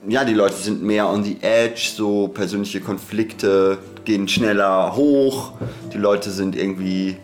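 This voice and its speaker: Deadpan, playful podcast co-host with androgynous voice. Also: low-pitched, measured pace, faint music behind. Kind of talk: casual chat.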